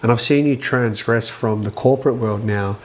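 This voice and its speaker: A man's voice: mid-range voice, normal speed. A man hosting a podcast interview, upbeat and jokey, chatting casually with his guest. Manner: upbeat, jokey, lots of "yeah". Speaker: podcast host.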